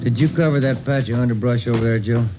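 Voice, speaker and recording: An actor playing a weary, dejected American police detective, talking in short plaintive phrases in an old radio drama. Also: male voice, medium pitch, fast, over music, lightly acted. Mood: weary, baffled. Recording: dull, noisy old radio recording.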